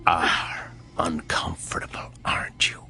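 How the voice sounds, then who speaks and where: An actor in a radio drama playing a menacing supernatural judge, cold and mocking, with measured, mock-clinical questioning. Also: male voice, whispered, music in the background, natural voice. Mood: quietly menacing. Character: menacing male supernatural judge, coldly tormenting a doctor.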